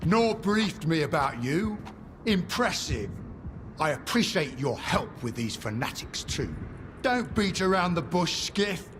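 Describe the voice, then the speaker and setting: Curt, menacing military commander. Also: male voice, on the high side, big pitch swings, measured pace. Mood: stern, menacing. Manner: curt, authoritative, cold. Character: stern, threatening male military commander. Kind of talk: dramatic video game acting. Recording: noisy game scene ambience.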